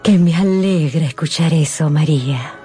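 An actress playing a gentle, middle-aged mother of a genteel household, measured and coaxing, in a radio drama. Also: deep voice, lightly acted. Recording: music under the voice.

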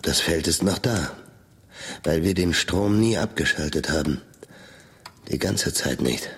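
An actor in a German radio play as a middle-aged researcher, dry, sardonic and measured in his delivery. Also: very deep voice, animated, fairly bright tone, breathy edge, full character voice. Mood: reflective, matter-of-fact. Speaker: narrator and lead character of a radio play. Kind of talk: German radio drama acting, first-person narration.

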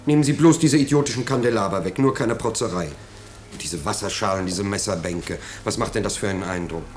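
An actor in a 1950s German radio drama playing a pompous, nervous householder who is eager to please, blustering and stammering when uneasy. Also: male voice, on the low side, fairly quick, lightly acted. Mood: fussy, bossy.

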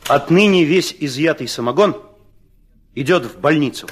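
An actor playing an earnest young police chief of eighteen in a drama, formal and pedantic. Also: male voice, fairly high pitch, lively intonation, moderate pace, lightly acted. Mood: solemn. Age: young adult. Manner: formal, officious.

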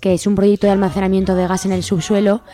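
A woman's voice: mid-range voice, flat delivery, fast, warm tone. A woman with a Spanish accent from Spain, presenting a radio programme as its host. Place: radio studio.

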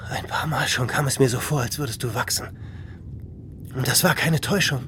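A man's voice: mid-range voice, fairly quick, natural voice. Man acting a frightened hermit saint, noisy backdrop. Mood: alarmed. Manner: anxious, pleading, breathy outbursts and whispers. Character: frightened, pious hermit saint, tormented by visions. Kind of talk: literary radio drama acting.

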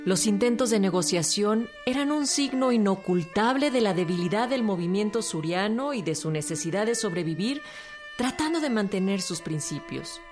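A woman's voice: medium pitch, expressive, normal speed, a little mellow. A woman reading scripted historical narration as a documentary narrator. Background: string music.

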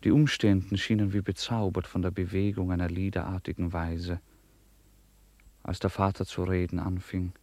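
An actor as the composed narrator of a classic novella in a 1950s radio drama, formal and measured in delivery. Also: male voice, low-pitched, flat delivery, darkish tone, no room sound.

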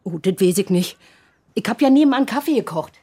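A woman's voice: mid-range voice, lively intonation, brisk, mellow, breathy edge. An actress playing a naive, accommodating landlady in a radio drama, talkative and a little hesitant. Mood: flustered, helpful. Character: chatty, naive, accommodating landlady, easily flattered.

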